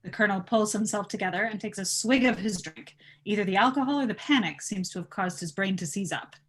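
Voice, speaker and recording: A woman's voice: medium pitch, quick pace, fairly bright tone, slightly rough. A woman reading stage directions as scripted narration at a table read.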